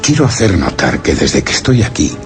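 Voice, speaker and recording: Solemn, anguished explorer, extremely low voice, measured literary narration for a horror radio play, music underneath. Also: male voice, very animated. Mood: solemn, brooding. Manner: grave, measured, literary delivery. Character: solemn, anguished male explorer recounting grim discoveries. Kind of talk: dramatic radio play narration, first-person horror tale.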